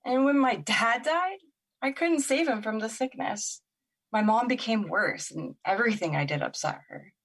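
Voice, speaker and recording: A woman's voice: fairly high pitch, animated, normal speed. An actress in an audio drama playing a wounded, wary young woman who talks in a casual, hesitant and candid way. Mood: vulnerable, confessing.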